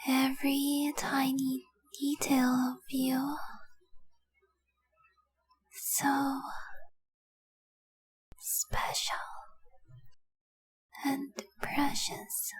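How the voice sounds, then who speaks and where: Woman doing a besotted dragon-blooded girlfriend, high soft voice, slow n lingering, half whispering, tender but possessive, romance audio roleplay. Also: level tone, poor audio. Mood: entranced, possessive. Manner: tender, breathy, often dropping to a whisper, lingering on words. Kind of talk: intimate romantic audio roleplay, addressed to the listener.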